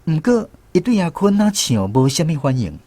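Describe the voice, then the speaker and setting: Animated Taiwanese man narrating a story. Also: on the high side, normal speed, no room sound. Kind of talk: radio drama storytelling narration.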